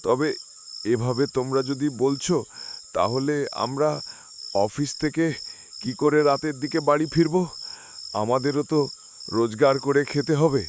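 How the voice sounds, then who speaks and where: A man playing a calm, sceptical office worker who reasons doubtfully in a horror cartoon. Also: medium pitch, a little slow, long pauses, close to an everyday voice. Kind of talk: Bengali horror cartoon dialogue. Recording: faint noise with chirping crickets.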